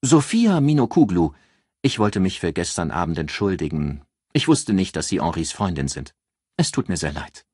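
A man's voice: deep voice, sing-song, moderate pace, a little mellow, husky, no room sound, studio quality, full character voice. A man voicing a demure but calculating female boss in an audiobook, bashful and earnest with feigned coyness. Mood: apologetic.

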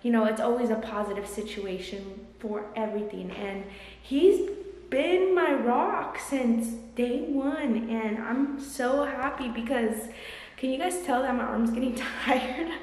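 A young woman talks effusively and chattily to her phone camera held at arm's length. Her voice sits a little high. The bare rooms around her give a heavy echo with a long tail. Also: unhurried, darkish tone. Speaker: vlogger. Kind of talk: casual vlog chat. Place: large empty apartment, indoors. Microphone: handheld phone camera at arm's length. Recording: echoey empty room with long reverb.

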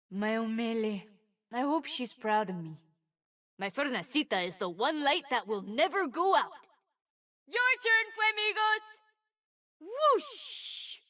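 A woman's voice: high voice, a bit bright, recorded from a distance, heavily acted. A woman voicing a cheerful, brave twelve-year-old boy fire mage in a video game, light, youthful and earnest. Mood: excited. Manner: exuberant, earnest. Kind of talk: video game character voice lines.

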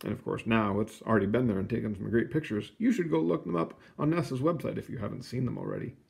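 A man reading a children's book aloud in an expressive, explanatory way, with casual asides. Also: medium pitch, quick pace, creaky. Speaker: picture book reader.

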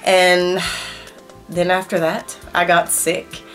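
A woman's voice: fairly low pitch, flat delivery, takes it slowly, a bit bright, faint music behind. A middle-aged woman, a beauty vlogger, reviewing makeup to camera in a casual, emphatic way, her nose stuffed up from a cold. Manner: chatty and emphatic, talking straight to viewers. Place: small home room. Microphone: camera facing her at desk distance.

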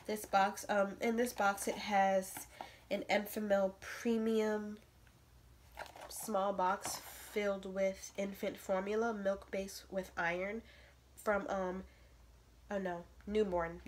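A young woman sounding a little stuffy, talking loosely and rambling, with plenty of ums. She speaks at a middle pitch to a camera set up close in a bedroom. A faint hiss sits under the recording. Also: level tone, slow. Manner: chatty, rambling with many fillers. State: stuffy nose. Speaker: vlogger. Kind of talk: casual unboxing vlog. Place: bedroom with a crib, indoors.

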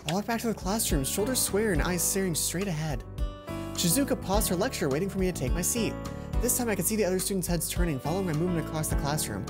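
A man's voice: sits high, lively intonation, fast. A young man hosting a let's play, reading visual novel narration aloud and adding casual commentary. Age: young adult. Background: faint game music.

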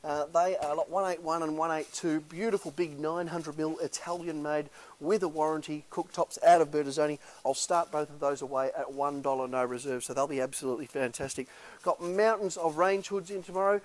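A man's voice: on the high side, fairly even intonation, fairly quick. A middle-aged man, an auctioneer walking through a warehouse and talking up the lots in a chatty salesman patter full of fillers. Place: large warehouse indoors.

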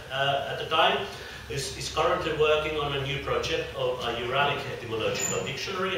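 A man's voice: mid-range voice, bright, forceful tone, far from the mic. Man lecturing in a roomy hall. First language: Finnish. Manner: hesitant, many filler sounds. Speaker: conference speaker. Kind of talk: academic lecture. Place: dim lecture hall with projected slides. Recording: roomy hall sound from afar, faint noise.

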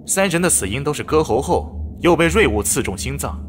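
A man playing a serious police officer giving a formal, measured case briefing in an audio drama. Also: fairly high pitch, big pitch swings, a little hiss or hum. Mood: grave.

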